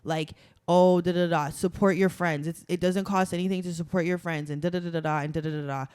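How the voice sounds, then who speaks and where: Young woman, podcast guest, smooth clear tone, dry close sound, emphatic and chatty. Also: lowish voice, fairly even intonation, fairly quick, darkish tone, no echo. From Toronto, Canada. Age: young adult. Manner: chatty and emphatic, many "like" fillers. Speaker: guest, carnival masquerader. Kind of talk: casual podcast conversation.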